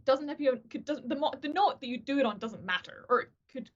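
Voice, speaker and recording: A woman with a fairly high voice chats on a video call, explaining vocal technique. She often restarts her sentences and pokes fun at herself. The audio is dull and lo-fi. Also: quick pace. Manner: restarts sentences, chatty and self-deprecating. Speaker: guest, opera singer and voice teacher. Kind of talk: casual video-call conversation.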